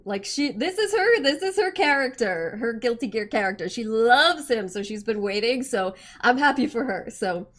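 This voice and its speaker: A woman hosting a gaming reaction video, chatting casually and reacting with exclamations, her voice slightly hoarse from being sick. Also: a little high.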